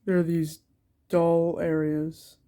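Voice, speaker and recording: A man's voice: on the high side, little pitch movement, slow pace, warm tone, clear, resonant tone. A young man with a cold, sounding congested, talking through his art sketch in a hesitant way with many ums and restarts. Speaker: art channel creator. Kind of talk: casual art vlog narration while sketching.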